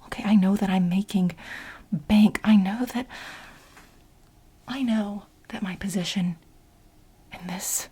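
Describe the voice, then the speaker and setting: A woman playing an obsessive, exasperated administrative assistant in a soft-spoken, whispery ASMR role play, insistent and repeating herself as she pleads with her boss. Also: mid-range voice, fairly even intonation. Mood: defensive. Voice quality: breathy, whispery. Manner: insistent, repetitive, halting for emphasis.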